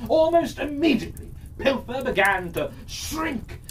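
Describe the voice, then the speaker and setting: Fairytale narrator, dramatic and deliberate, frequent pauses, slightly distant mic with faint background noise. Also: high-pitched, lively intonation. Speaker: storyteller.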